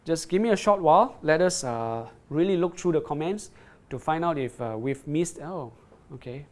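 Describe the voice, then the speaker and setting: A man hosting a live product demo, talking off the cuff as he reads out viewer comments. His voice sits a touch higher than most men's. He stops and restarts a lot and keeps asking "right?". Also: lively intonation. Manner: halting, repeats words, checks in with "right?". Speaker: product presenter on a livestream.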